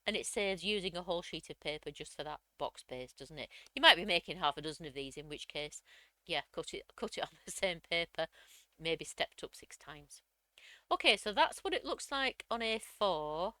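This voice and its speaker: A woman, slightly croaky from a cold, chattily walking through a crafting software tutorial step by step. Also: on the low side, lively intonation, normal speed, no room sound. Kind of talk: screen-recorded software tutorial.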